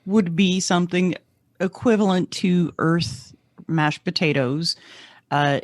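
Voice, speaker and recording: A podcast panelist with a medium-pitched voice chats casually with the group. The sound is dry, with no room around it. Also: slow pace, no room sound.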